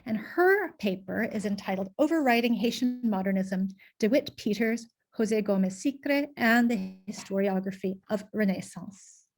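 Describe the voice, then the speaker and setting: A woman chairing an academic panel, giving formal introductions in a halting way with frequent pauses. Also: medium pitch, animated.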